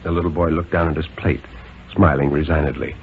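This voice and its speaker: A man's voice: a bass voice, fairly even intonation, brisk, creaky. A man reading a story aloud in scripted 1950s radio narration. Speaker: dramatic reader. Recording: tinny, noisy 1950s radio recording.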